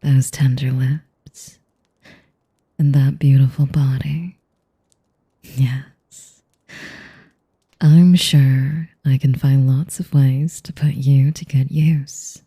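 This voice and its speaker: Ancient vampire mistress played by a woman: dark, velvety tone with creaky edges, sadistic and seductive, whispery taunts for an audio roleplay. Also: steady tone, heavily acted. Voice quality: low, breathy, often dropping to a whisper. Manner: slow, velvety taunting with condescending endearments. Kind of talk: audio roleplay addressed to the listener.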